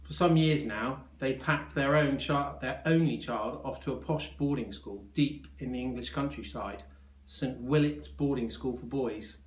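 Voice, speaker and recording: A man reading a children's story aloud as a teacher would, with expressive narration. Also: mid-range voice, a little slow. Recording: echoey room.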